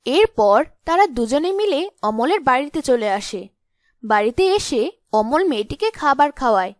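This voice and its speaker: Female storyteller, high pitch, dry sound, scripted fairy tale narration. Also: sing-song, a little slow, no room sound.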